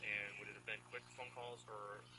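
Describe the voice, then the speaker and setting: A man's voice: on the low side, flat delivery, moderate pace, bright, forceful tone. An American man on a tinny phone line, asking probing questions in an insistent, methodical way. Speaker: brother questioning an inmate by phone.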